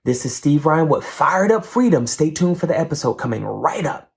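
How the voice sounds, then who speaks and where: A man's voice: on the high side, big pitch swings, moderate pace, gravelly, slightly rough. A man hosting a podcast, reading an ad and asking questions in a loose, casual way with lots of filler words. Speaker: podcast host.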